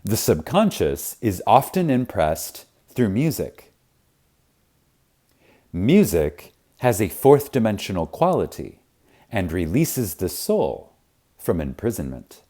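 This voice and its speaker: A man narrating a spiritual audiobook in a reverent, emphatic manner, with deliberate pauses. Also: fairly low pitch, huge pitch swings, mellow. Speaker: audiobook reader. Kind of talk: scripted narration of a spiritual self-help audiobook.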